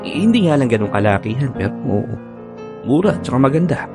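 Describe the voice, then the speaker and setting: Guy acting sweet teasing young filipino boyfriend in an audio drama, really animated, music under him. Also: mid-range voice, fast. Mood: contented. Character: playful, doting young live-in boyfriend. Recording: background music under dialogue.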